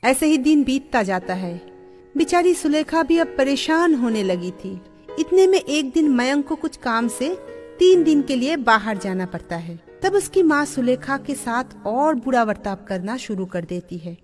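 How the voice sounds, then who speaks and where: High-pitched, animated woman storyteller. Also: unhurried. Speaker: narrator. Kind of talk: scripted moral-story narration. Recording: music under the voice.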